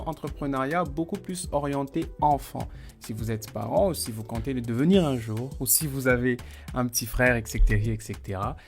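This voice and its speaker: Male podcast host, mid pitch, casual and halting, faint music underneath. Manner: relaxed, hesitant with repeated words. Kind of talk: conversational podcast interview.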